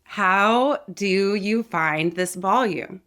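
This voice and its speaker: A woman's voice: medium pitch, extremely slow, fairly bright tone, smooth. A woman with a mid-range voice gives an instructional talk as a vocal coach. She addresses viewers directly, explaining each idea in an articulate, conversational manner. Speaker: voice coach and channel host.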